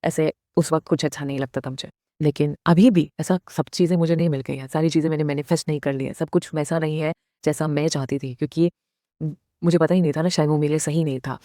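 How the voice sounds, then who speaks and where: A woman's voice: deep voice, fairly even intonation, fast, dark tone, no echo, studio quality. A woman in her thirties giving a heartfelt motivational talk to her viewers. She speaks earnestly, mixing Hindi with English phrases. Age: 30s. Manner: speaks directly to viewers, asks them questions. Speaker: vlogger.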